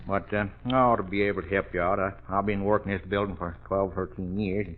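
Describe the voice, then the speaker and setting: A man acts out a folksy, hesitant American attendant in a 1940s radio comedy. His voice is on the low side and he ponders kindly as he talks. The recording is lo-fi and dull. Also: fairly even intonation, fairly quick, slight background noise, natural voice. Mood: helpful.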